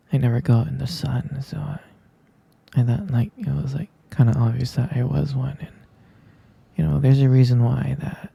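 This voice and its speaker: A man playing a gentle, sleepy half-vampire boyfriend in an ASMR roleplay, murmuring soothingly and haltingly in a breathy, husky whisper. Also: mid-range voice, dry recording, highs cut off.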